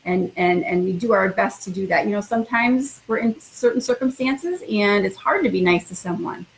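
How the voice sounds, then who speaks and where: A woman's voice: mid-range voice, moderate pace, creaky, slight background noise, low-quality recording. A woman in middle age, a school principal, talking informally and reading a picture book to children in an encouraging way. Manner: encouraging, addresses children directly.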